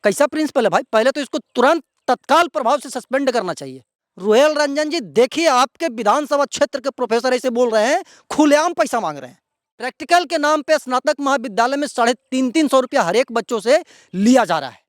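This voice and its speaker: A male local news presenter with a Bihari accent and a very high-pitched voice. He speaks straight to viewers in outspoken commentary, indignant and emphatic, hammering points through repetition. The sound is dry and close. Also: animated, fairly quick, no room sound.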